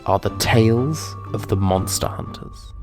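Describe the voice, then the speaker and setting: A man's voice: fairly low pitch, measured pace. A man reading a dramatic scripted introduction as an announcer. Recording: music behind the voice.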